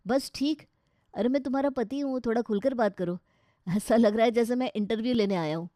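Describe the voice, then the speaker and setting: A woman acts a young, carefree Indian husband in a family tale. The delivery is chatty and complaining, with a mellow timbre. The recording is very dry. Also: a little high, brisk, dry recording, lightly acted. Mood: coaxing, irritated. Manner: casual, complaining.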